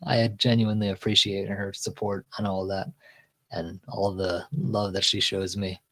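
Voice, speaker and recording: A man's voice: sits low, flat delivery, moderate pace, fairly bright tone, rough recording. A man with a Texan accent hosting a casual livestream, reading chat comments aloud and answering them in a rambling way with restarts and a chest voice. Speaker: livestream host.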